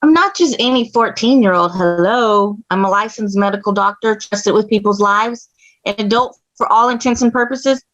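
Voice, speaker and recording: A woman's voice: mid-range voice, moderate pace, fairly bright tone, lightly acted. A woman playing an assertive, indignant fourteen-year-old girl doctor at a table read, matter-of-fact and precise. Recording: dull, lo-fi audio.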